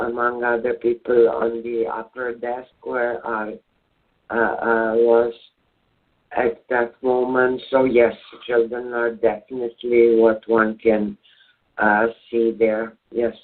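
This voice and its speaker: A man's voice: medium pitch, monotone, slow. A man with a Serbian accent, a native Serbian speaker, answering as a guest in a call-in radio interview, hesitant with many filler sounds. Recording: tinny phone-line audio.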